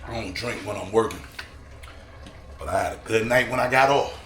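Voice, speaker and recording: A man with a New York accent and a slightly hoarse, froggy voice, chatting casually to viewers while he cooks in his home kitchen. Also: lowish voice, brisk, fairly bright tone. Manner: laid-back slangy chatter to viewers. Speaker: home cooking vlogger. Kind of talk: casual cooking vlog talk. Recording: echoey room with background noise.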